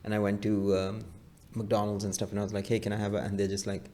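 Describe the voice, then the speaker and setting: A man's voice: on the low side, monotone, mellow. Man casually joking on a comedy podcast. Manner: joking, quick to echo and agree.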